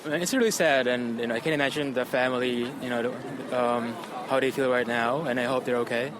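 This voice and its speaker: A man's voice: medium pitch, steady tone, a little fast. A man from New York, answering a street interview in a sympathetic, hesitant way. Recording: distant street recording with traffic.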